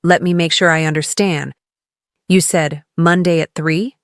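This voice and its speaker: Slow-paced woman teaching English phrases. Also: fairly low pitch, steady tone, no echo, studio quality. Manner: encouraging coaching. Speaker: English teacher leading shadowing practice. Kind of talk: scripted language lesson, model phrases said twice for repeating.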